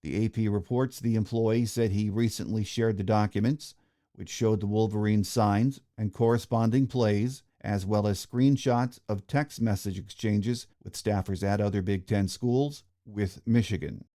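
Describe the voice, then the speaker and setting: A man's voice: lowish voice, steady tone, unhurried, dark tone. An American man hosting a sports podcast, reading scripted news and introductions.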